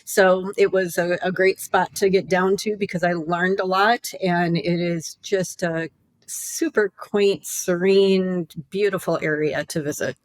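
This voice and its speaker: A woman's voice: fairly low pitch, fairly even intonation, unhurried, no room sound. Easygoing Midwestern woman guest. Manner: easygoing, many ums. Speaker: guest travel photographer. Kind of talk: casual interview.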